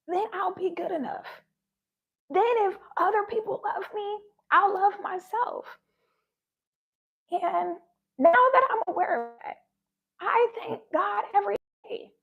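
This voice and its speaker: A woman's voice: extremely high pitch, creaky, highs cut off. A woman giving a heartfelt spiritual talk, her voice shaky and sounding close to tears. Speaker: spiritual vlogger.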